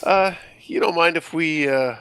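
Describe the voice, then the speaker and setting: A man's voice: a little high, measured pace, pushed and bright, slight background noise. A man playing an anxious, polite teenage boy in a script read, hesitant and uneasy.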